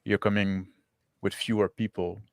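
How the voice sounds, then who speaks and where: A man narrating a tabletop roleplaying game as game master, improvising haltingly. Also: fairly low pitch, level tone, no room sound, studio quality.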